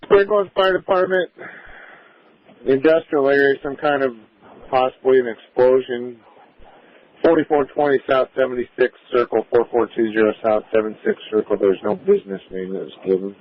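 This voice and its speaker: A man's voice: medium pitch, moderate pace. Male police dispatcher on muffled radio. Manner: procedural, reading out addresses and codes. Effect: police two-way radio. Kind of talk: live emergency radio dispatch.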